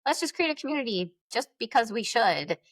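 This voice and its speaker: A woman's voice: fairly high pitch, very animated, brisk, no echo. A woman speaking as a podcast guest, telling experiences and giving advice in an emphatic, conversational way. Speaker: podcast guest, influencer marketer.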